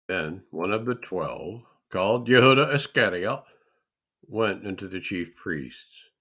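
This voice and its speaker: Male scripture reader: solemn and deliberate, very unhurried, with a bright, forceful tone and dramatic weight. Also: low-pitched, lively intonation.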